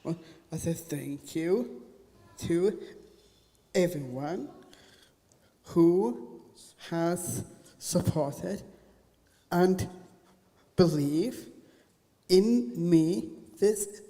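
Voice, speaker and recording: Man, acceptance speech, extremely slow word-by-word delivery, mellow low tone, hall reverb. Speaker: award winner.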